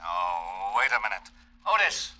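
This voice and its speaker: An actor playing a gruff, harried, easily exasperated police lieutenant in an old radio detective drama. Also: male voice, deep voice, big pitch swings, measured pace, pushed and bright, full character voice.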